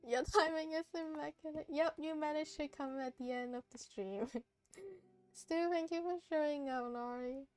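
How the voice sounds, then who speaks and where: Giggly woman streamer with a tired, high voice. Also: a little slow, a little mellow. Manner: giggly, chatty. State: tired voice, strained after hours of speaking. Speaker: streamer hosting a game stream. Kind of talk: casual live stream chat. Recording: background music behind close dry voice.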